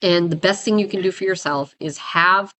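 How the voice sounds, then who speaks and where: A woman dog trainer, raspy from a head cold, giving blunt, emphatic advice in casual talk to viewers. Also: fairly low pitch, normal speed, a bit bright. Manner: emphatic, blunt, repeats "right?" and "guys".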